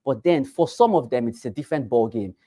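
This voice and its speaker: A man with a Nigerian accent leads a classroom session for realtors and drives each point home by repeating it. He swings from loud bursts to quiet asides and keeps asking rhetorical questions. His voice sits at a middling pitch and is recorded dry, with no room echo. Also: expressive, brisk. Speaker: real estate trainer. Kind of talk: classroom training talk.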